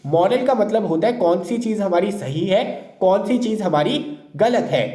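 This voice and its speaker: A man's voice: high-pitched, animated, normal speed, dark tone. A man teaching English vocabulary in an exam-prep lesson, asking questions and then answering them himself. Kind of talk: explanatory lesson lecture. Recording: roomy echo.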